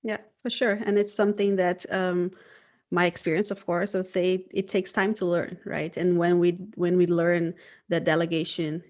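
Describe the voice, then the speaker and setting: Female design manager, lowish voice, thoughtful and conversational, checks in with "right?". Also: flat delivery, moderate pace, a little mellow. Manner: thoughtful, frequent "right?" tags. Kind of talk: casual conversation.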